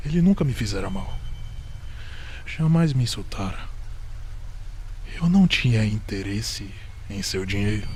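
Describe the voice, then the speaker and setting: A man with a Brazilian accent playing a nervous, obsessive madman in a gothic horror audio drama, intense and deliberate, often dropping toward a whisper. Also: on the low side, a little mellow, slight background noise. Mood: brooding. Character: nervous, obsessive madman confessing murder, insisting he is sane.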